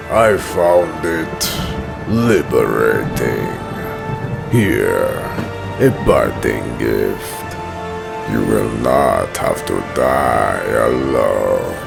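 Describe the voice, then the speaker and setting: Menacing giant villain, very gravelly growl, slow deliberate pace, grandiose comic dub narration. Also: male voice, exaggerated voice. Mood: coldly mocking. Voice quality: gravelly, rumbling deep voice. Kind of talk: dramatic first-person comic dub narration.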